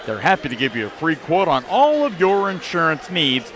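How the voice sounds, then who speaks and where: A man's voice: fairly high pitch, huge pitch swings, normal speed, a bit bright. A man hosting a halftime report on a sports broadcast, moving between recap and sponsor reads. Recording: noisy gymnasium broadcast. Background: basketball gym ambience.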